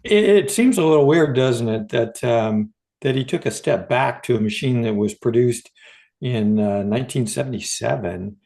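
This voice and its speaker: Gravelly, mellow man in casual podcast chat. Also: mid-range voice, lively intonation, moderate pace. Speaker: podcast co-host. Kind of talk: casual unscripted conversation.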